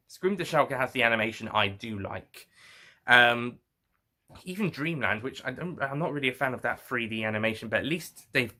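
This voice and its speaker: Man, medium pitch, bright sharp tone, casual unscripted review, rambling, halting, frequent self-corrections. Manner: halting, rambling, often repeats and corrects himself.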